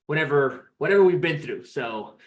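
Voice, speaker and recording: A man's voice: on the high side, expressive, normal speed. A man from Peru, in his thirties, chatting as a podcast guest in an upbeat, rambling way with many restarts. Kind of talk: casual interview chat.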